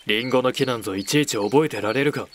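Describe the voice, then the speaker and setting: A man playing an aloof, gruff male cat beastman in a voice drama, curt, haughty and dismissive. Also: medium pitch, fast, fairly bright tone, lightly acted. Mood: evasive, irritated. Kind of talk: voice drama acting.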